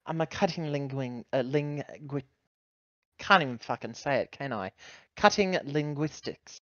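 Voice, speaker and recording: A man playing a tabletop roleplaying game, describing actions casually, halting and correcting himself as he thinks aloud. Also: mid-range voice, fairly bright tone, dry recording, muffled recording.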